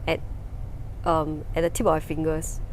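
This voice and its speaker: A Mandarin-native woman with a Singaporean accent, an actor and lecturer answering casually, halting and repeating words for emphasis. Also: deep voice, level tone, a little mellow, rough recording. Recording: noticeable background noise.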